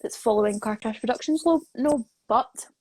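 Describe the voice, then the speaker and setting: A woman hosting a casual live interview, playful and encouraging, with a husky voice. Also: on the high side, normal speed, a little mellow, rough recording. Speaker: host, associate producer.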